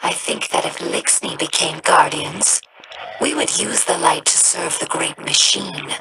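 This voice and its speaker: An actress voicing a young female alien scholar in a video game, earnest and reflective, with a breathy rasp and a slow, solemn delivery. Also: sits low, noisy background. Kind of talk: game character dialogue.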